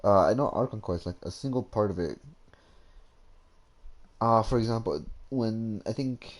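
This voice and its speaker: A man's voice: lowish voice, moderate pace. A man giving casual, rambling, hesitant game commentary as a streamer. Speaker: gaming streamer. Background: faint game music.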